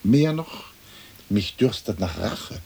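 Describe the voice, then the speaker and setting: Haughty aristocrat, husky voice, slow declamation, indignant and vengeful, theatrical radio drama. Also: male voice, fairly low pitch, animated, mellow, exaggerated voice. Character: haughty, indignant lord turning into a bird, vengeful. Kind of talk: stylized German radio play acting.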